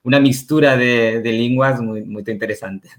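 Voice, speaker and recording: A man with a Catalan accent speaks Portuguese as a guest on a remote panel, his voice sitting at an ordinary middle pitch. He drifts into Spanish words and stops to restart his phrases as he explains. It sounds like a plain video-call hookup. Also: fairly even intonation, fairly quick. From Barcelona, Spain. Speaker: guest journalist. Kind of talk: casual panel discussion.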